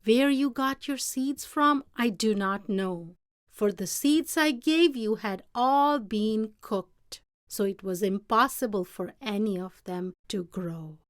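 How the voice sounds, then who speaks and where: A woman's voice: medium pitch, slow pace, dry recording, clean studio sound, natural voice. A woman voicing a solemn, kindly old emperor in a proclaiming, emphatic manner for a storybook. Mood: proud proclaiming.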